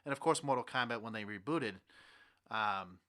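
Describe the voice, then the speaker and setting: A young man hosting a podcast with casual, animated, rambling banter about movies and games. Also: fairly low pitch, moderate pace. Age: young adult. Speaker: podcast host.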